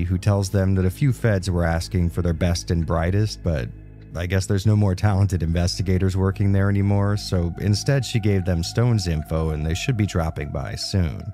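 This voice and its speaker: Game reviewer, man, very low mellow voice, stuffy nose from a cold, wry scripted voiceover. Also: fairly even intonation, normal speed, faint music behind. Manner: casual, wry and joking. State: nasal from a cold.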